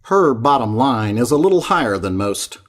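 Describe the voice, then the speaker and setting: A man's voice: mid-range voice, big pitch swings, normal speed. A man reading poetry aloud in a recitation style. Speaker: poetry reader.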